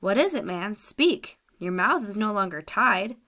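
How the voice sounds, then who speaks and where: A woman's voice: medium pitch, animated, moderate pace, bright, forceful tone. A stern, commanding uncle presses his questions sharply, as a woman reader puts him on. The voice is severe and suspicious in a storybook reading. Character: stern commanding older uncle, boat captain.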